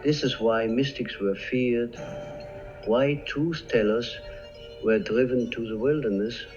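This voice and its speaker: Slow, solemn male narrator over music. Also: mid-range voice, darkish tone. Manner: slow, solemn, measured pauses. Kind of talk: scripted narration. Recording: dull lo-fi with faint music. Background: faint background music.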